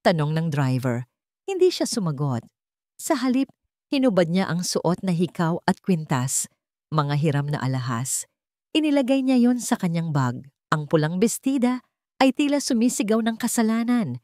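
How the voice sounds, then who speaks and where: A Filipino woman reading a scripted romance story as narrator, with dramatic, deliberate phrasing. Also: fairly low pitch, sing-song, a little mellow, no room sound, studio quality.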